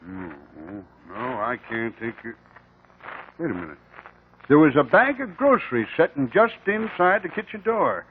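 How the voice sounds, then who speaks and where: A man's voice: medium pitch, big pitch swings, measured pace. An American man acting a folksy, dutiful country sheriff in a 1950s radio drama, plainspoken and reluctant but firm. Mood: cooperative. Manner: folksy rural speech, plainspoken. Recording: noisy, narrow-band old radio recording.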